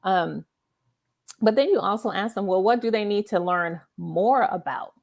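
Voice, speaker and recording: A woman's voice: medium pitch, normal speed, vocal fry, a little mellow. A woman hosting a conversational interview, explaining emphatically and often checking in with the listener. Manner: emphatic, frequent check-in questions like "right?". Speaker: talk show host.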